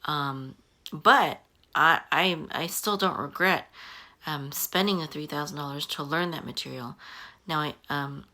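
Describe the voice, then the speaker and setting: A woman in her early forties giving casual advice to camera, halting often with ums and restarting her sentences. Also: low-pitched, sharp tone. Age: 40s. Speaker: online business coach. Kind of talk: casual talking-head advice.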